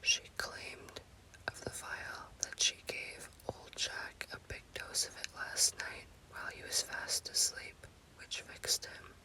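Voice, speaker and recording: A woman speaking in a breathy, unvoiced whisper in the style of an ASMR spooky storytelling host, with deliberate phrasing. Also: bright, forceful tone, poor audio.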